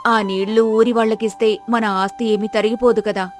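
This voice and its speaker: A woman narrator plays a caring village wife with a high voice. She pleads earnestly and with concern in a moral tale, music playing softly behind. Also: level tone, moderate pace, lightly acted. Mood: gentle pleading. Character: compassionate, worried village wife urging her husband. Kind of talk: moral-story character dialogue.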